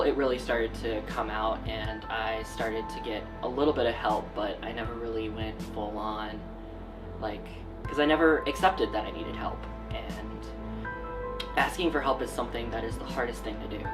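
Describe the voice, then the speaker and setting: A young man, a college student, giving a candid, reflective personal testimony. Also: mid-range voice. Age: young adult. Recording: distant mic under music.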